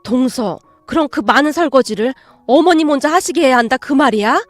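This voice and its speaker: A middle-aged mother, as a woman acts her, scolds in a calm, measured voice. She sounds firm and reproachful, with a fairly high and lively pitch, recorded dry and close. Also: no room sound, soft background music.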